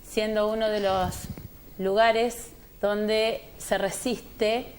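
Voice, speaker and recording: A woman's voice: medium pitch, flat delivery, extremely slow, darkish tone, slight background noise. Thoughtful woman on a panel. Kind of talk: panel discussion.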